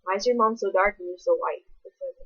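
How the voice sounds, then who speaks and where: Young woman, bright voice, blunt, rambling, swears a lot, slightly dull lo-fi audio. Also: mid-range voice, fast talker. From American South. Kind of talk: casual vlog rant.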